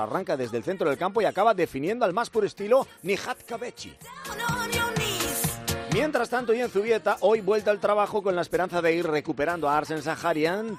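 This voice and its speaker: A man hosting a radio sports news bulletin, brisk and lively, with offhand asides. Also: a little high. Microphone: studio microphone.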